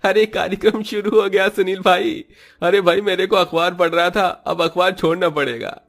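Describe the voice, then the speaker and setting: A man voicing a gleeful, excitable male neighbour in a comic cartoon story, with a boisterous, exclaiming manner. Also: sits high, moderate pace, gravelly, voice pushed up. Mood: excited.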